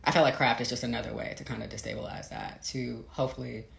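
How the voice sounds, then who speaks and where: Female interviewee, author type. Crackly vocal fry, thoughtful and searching delivery, reverberant room sound. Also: very deep voice, steady tone, fast. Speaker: interviewed novelist. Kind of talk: reflective conversational interview. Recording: roomy, echoey sound.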